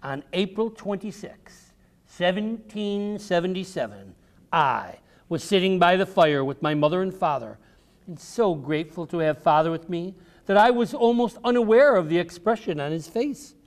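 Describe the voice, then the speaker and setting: A man voicing a patient old grandmother who recounts her wartime ride in measured, instructive storybook narration. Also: high voice, lively intonation, voice pushed up. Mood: suspenseful.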